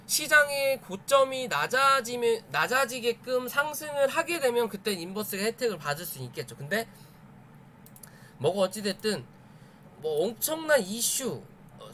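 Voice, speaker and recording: Guy streaming chart analysis, pretty high voice, talks it through emphatically, some background noise. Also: bright, forceful tone. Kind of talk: live chart commentary, explaining to viewers.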